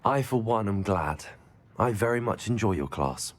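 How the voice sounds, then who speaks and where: An actor playing a polite, curious young student at a wizarding school, deferential and courteous in game dialogue. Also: male voice, fairly low pitch, lively intonation, normal speed.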